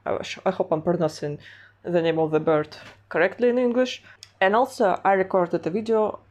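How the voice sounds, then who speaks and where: A woman's voice: fairly low pitch, fairly even intonation, moderate pace, darkish tone. A woman vlogger, stuffy from a cold, talking casually about her art with many hesitations. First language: Russian. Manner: hesitant, many fillers and restarts. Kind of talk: casual vlog narration.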